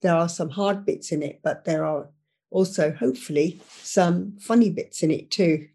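A woman born in Uganda, a memoir author, introducing herself in an unhurried, hesitant way with many fillers. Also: fairly low pitch, mellow, slightly rough. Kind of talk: casual conversation.

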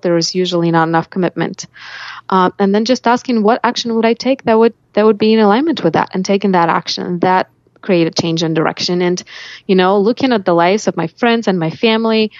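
A woman's voice: medium pitch, a little fast, no echo, dull audio. A woman with a Russian accent telling reflective personal stories as an interview guest, often voicing her own thoughts aloud. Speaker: life coach, podcast guest.

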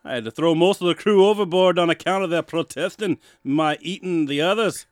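A man's voice: fairly high pitch, fairly quick, no echo, clean studio sound, natural voice. A man playing a jolly old sea captain with hearty pirate talk in a comedy audio drama. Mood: boastful, blithe. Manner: hearty, salty nautical exclamations.

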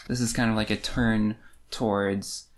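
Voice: mid-range voice, flat delivery, unhurried. A podcast co-host talking through a book in an informal, wry, casual chat style.

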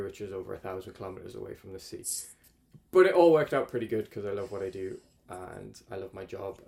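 A man's voice: fairly low pitch, creaky. A man, talking casually as an interview guest in a rambling, self-correcting way. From northeast England. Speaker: climate researcher guest.

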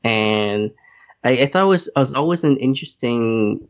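A man's voice: mid-range voice, lively intonation, normal speed, muffled recording. A man joining a podcast as a guest, talking loosely and joking, with plenty of fillers. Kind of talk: casual conversation.

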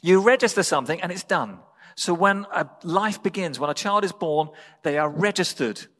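A man with an English accent preaching a sermon as a pastor, speaking in measured, deliberate phrases with pauses. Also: sits high, lively intonation, fairly bright tone. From England. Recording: reverberant hall.

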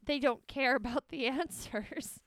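A woman with a bright, clear voice recorded close and dry. She hosts a podcast with casual, slangy banter, animated and teasingly sarcastic. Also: sits high, measured pace, no echo. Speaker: podcast host.

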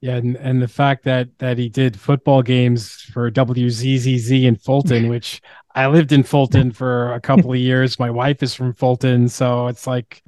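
A man hosts a relaxed, conversational podcast in a mid-pitched voice. He speaks haltingly, often doubling back on words. The sound is dry, with little room. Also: no room sound. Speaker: podcast host. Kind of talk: casual podcast chat.

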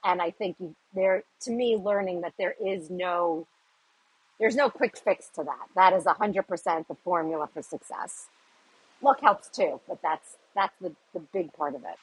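A woman co-hosting a podcast, a recruiter, talking in a lively, upbeat way. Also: on the low side, moderate pace, husky, no room sound, poor audio. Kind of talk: casual podcast chat.

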